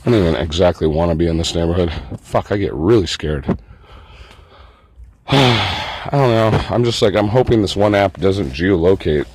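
A man's voice: low voice, lively intonation, normal speed, darkish tone, breathy edge, no echo. A man vlogging in a meandering, profane, self-mocking monologue. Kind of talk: rambling casual monologue.

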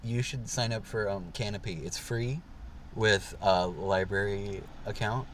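A man's voice: on the low side, steady tone, a little slow, a bit bright, slight background noise. A young man co-hosting a podcast, chatting casually from a parked car and rambling with lots of fillers. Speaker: podcast co-host. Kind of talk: casual chat.

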